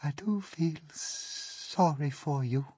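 A woman's voice: low-pitched, slow pace, dark tone, husky, dry recording, pitched above the performer's own voice, full character voice. A woman voicing a genteel, mournful female spider who tells her sad tale dramatically. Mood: gentle. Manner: dramatic, tearful storytelling.

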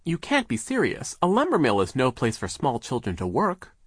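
A man's voice: sits high, sing-song, moderate pace, fairly bright tone, no echo, clean studio sound, voice pushed up, exaggerated voice. A man voicing a nervous, deferential business partner in an audiobook, meek, hesitant and eager to please. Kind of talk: audiobook character voice.

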